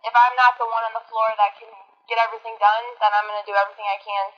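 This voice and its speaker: A young woman, a college basketball player and team captain, with a high-pitched voice, talking earnestly and chattily with many fillers and restarts. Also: flat delivery, rapid-fire, lo-fi sound. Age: young adult. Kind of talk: casual interview answers.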